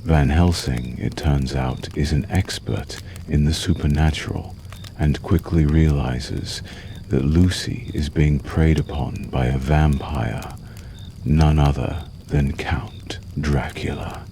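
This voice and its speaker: Very deep, slow vampire lover acted by a man. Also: steady tone, mellow, slight background noise, exaggerated voice. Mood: calm storytelling. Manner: slow, soothing, menacingly tender, lingering pauses. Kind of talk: ASMR roleplay, intimate storytelling.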